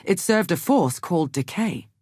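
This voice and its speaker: An actress playing an earnest, scholarly woman survivor in a video game, speaking in a measured, articulate, grave way. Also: lowish voice, expressive, darkish tone, breathy edge, dry recording, clean studio sound. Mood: thoughtful, informative. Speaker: game character giving lore and quests. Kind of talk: scripted video game dialogue.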